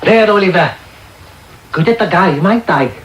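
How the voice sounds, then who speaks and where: Crafty old thief boss, wheedling n theatrical, pitched high, hissy radio recording. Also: male voice, animated, moderate pace. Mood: fatherly, sly. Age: older. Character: wheedling, sly old man leading young pickpockets. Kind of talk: radio drama acting. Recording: roomy, noisy medium-wave radio tape.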